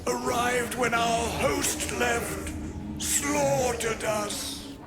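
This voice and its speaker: A talking corpse from a video game, forces out halting fragments very slowly. The voice is high, strained and lifeless, with music clearly behind it. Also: male voice, steady tone, heavily acted. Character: lifeless talking corpse of a murdered male clerk. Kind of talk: video game character acting.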